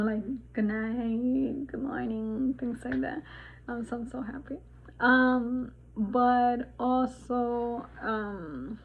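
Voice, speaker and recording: Woman in her 40s rambling on a vlog, higher voice, sounds like a tinny phone line. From Dominican Republic. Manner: rambling, many fillers and restarts, laughs often. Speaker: vlogger. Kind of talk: casual spiritual vlog chat.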